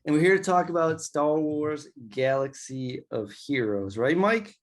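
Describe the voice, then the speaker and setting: A man's voice: fairly high pitch, expressive, measured pace. A man co-hosting a gaming podcast, in laid-back, joking chat that often stumbles over its words. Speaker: podcast co-host.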